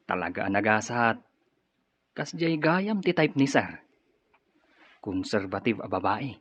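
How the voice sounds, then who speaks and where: An actor in an Ilocano radio drama playing a gossipy, judgmental office employee with a husky voice. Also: male voice, on the low side, very animated, a little slow, fairly bright tone, heavily acted. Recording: dull lo-fi audio, faint music.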